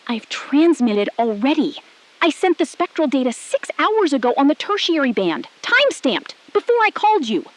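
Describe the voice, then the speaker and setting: Woman playing a high-voiced, deliberate, defiant astronaut. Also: sing-song, low-quality recording. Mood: firm, measured. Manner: deliberate, measured, clipped declarative sentences. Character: calm, resolute woman astronaut-scientist, defiant and precise. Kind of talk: scripted audio drama acting.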